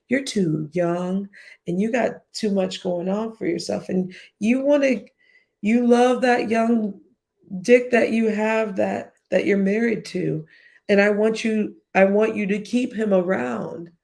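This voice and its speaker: Blunt, chatty woman with a gravelly voice. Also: medium pitch, dark tone. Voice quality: whiny-sounding voice. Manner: blunt, profane, chatty, addresses chat directly. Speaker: YouTube live streamer. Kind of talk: casual commentary livestream.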